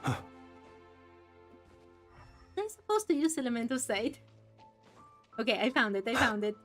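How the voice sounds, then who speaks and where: High-voiced woman playing a game. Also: big pitch swings, unhurried. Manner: wondering aloud, questioning. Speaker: game streamer. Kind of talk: casual playthrough commentary. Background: game music.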